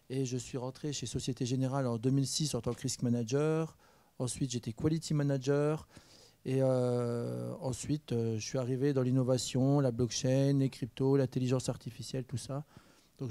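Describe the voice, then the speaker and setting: A man from France speaking on a conference panel, relaxed and joking, with many fillers. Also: medium pitch, no echo. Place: university conference room. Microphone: handheld mic.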